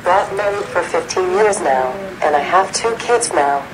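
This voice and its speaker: A woman's voice: fairly low pitch, expressive, unhurried, background noise. Korean-accented woman telling a personal story. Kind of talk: sharing a prepared personal story.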